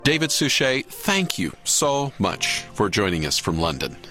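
A man's voice: fairly low pitch, very animated, a little slow, pushed and bright, soft background music. A man hosting a faith radio program, asking interview questions in a conversational way with frequent affirming murmurs. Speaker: radio host.

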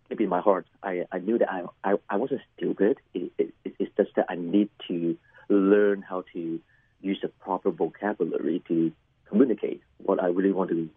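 A middle-aged man from Hong Kong whose first language is Cantonese, a pastor telling his life story in an interview, halting and repeating words with many fillers. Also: low-pitched, breathy edge, dry recording, phone-quality sound. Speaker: pastor and chaplain, guest. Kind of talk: personal testimony interview.

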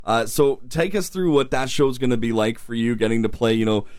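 A man's voice: mid-range voice, steady tone, fairly quick, darkish tone. Encouraging male interview host. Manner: encouraging, upbeat questions. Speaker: radio show host. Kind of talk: conversational interview hosting.

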